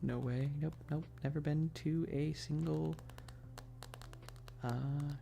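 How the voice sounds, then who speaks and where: Very creaky, soft ASMR streamer. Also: mid-range voice, fairly even intonation, takes it slowly. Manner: soft-spoken, close whispery delivery; rambling, informal, teasing asides to chat. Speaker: livestream host. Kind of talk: casual ASMR livestream chat. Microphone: close binaural microphone. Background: tapping and keyboard clicking.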